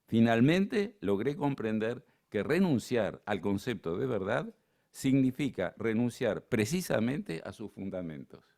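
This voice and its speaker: A man's voice: medium pitch, creaky, a little mellow. An older man with an Argentine accent giving a conference lecture in a digressive, conversational way, with frequent fillers. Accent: Argentine Spanish, not Buenos Aires.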